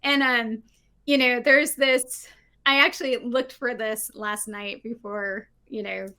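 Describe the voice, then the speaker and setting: Bright, lively woman on a video call. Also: on the high side, takes it slowly. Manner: animated, frequent "right?" tags, laughs. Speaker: guest, diversity consultant. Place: home living room with bookshelves. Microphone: video call, laptop mic.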